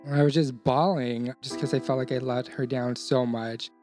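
A man's voice: medium pitch, steady tone, normal speed, music in the background. A young man whose first language is Vietnamese, speaking English as he recounts his family story openly and emotionally. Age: young adult. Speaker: interviewee. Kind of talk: personal testimony.

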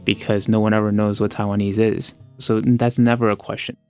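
A man in his twenties who grew up in America, speaking in an interview in a careful, hesitant way, pausing and restarting often. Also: lowish voice, flat delivery, a little mellow, faint music behind. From United States. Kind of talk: personal interview talk.

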